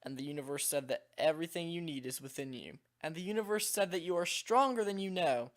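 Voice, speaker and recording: A man with a slightly hoarse, worn-out voice, reading a game text aloud in a calm, measured way. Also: on the high side. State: slightly hoarse, voice nearly gone. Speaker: video creator.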